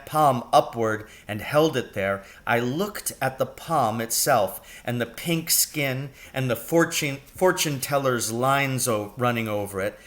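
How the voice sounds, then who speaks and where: Bright-voiced man narrating a storybook. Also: medium pitch. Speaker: reader of a children's novel.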